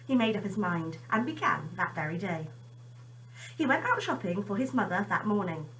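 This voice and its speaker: A woman's voice: mid-range voice, very animated, moderate pace, fairly bright tone, distant mic, a little hiss or hum, poor audio. A woman teacher chatting informally to children and reading them a story expressively.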